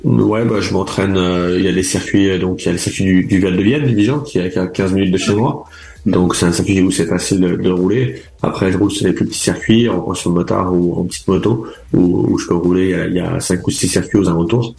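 A man's voice: low-pitched, fairly even intonation, fast talker, gravelly, a little mellow. A young man, an endurance motorcycle racer, answering interview questions casually, with many fillers and restarts. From France, raised in Charente from age four. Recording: roomy, echoey sound.

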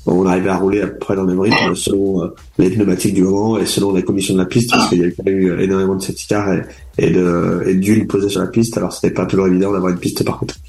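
A young man with a very low voice answers interview questions about racing in an easygoing, halting way. He stumbles through fillers and restarts his sentences often. The sound is roomy and echoey. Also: level tone, darkish tone, slight background noise. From France, raised in Charente from age four. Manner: hesitant, many fillers and restarts. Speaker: endurance motorcycle racer being interviewed.

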